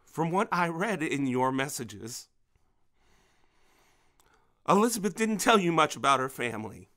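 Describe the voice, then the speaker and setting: A man acting in an audio drama as a menacing, grieving middle-aged father protecting his daughter, speaking with halting intensity. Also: on the high side, very animated, fairly bright tone. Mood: tense, recounting. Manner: intense, halting, with repeated words.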